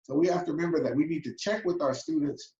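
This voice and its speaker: A man's voice: on the high side, fast talker, a little mellow. A man giving earnest, emphatic closing remarks as a webinar panelist over a video call, often checking in with "right?". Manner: earnest, emphatic, asks for agreement with repeated "right?". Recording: dull, roomy video call audio.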